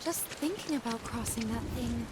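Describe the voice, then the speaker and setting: A woman playing a spirited, sweet young mountain guide in a video game cutscene, expressive and earnest. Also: a little high, moderate pace, very noisy, natural voice. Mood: frightened.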